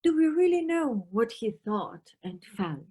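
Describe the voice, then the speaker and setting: A woman's voice: fairly high pitch, big pitch swings, measured pace, mellow, breathy edge, full character voice. A woman playing an eccentric, playful, officious European policewoman in an audio play, theatrical and teasing, with German phrases thrown in. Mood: musing, storytelling.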